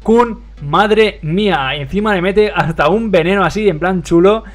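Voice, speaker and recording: A man's voice: sits high, unhurried. A young man from Madrid with a Spain Spanish accent, hosting a livestream and commentating a mobile game match with lots of fillers. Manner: excitable play-by-play, many fillers. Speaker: livestream host and caster.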